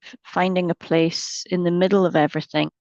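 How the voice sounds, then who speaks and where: A woman leading a guided meditation as a mindfulness teacher, gently and without hurry, leaving long pauses between short phrases. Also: fairly low pitch, little pitch movement, no echo, lo-fi sound.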